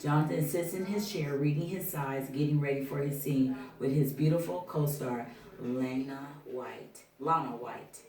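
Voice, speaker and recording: A woman's voice: rumbling low, a little slow, warm tone. A woman reading out scene headings and stage directions as scripted narration at a film table read. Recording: roomy, distant mic.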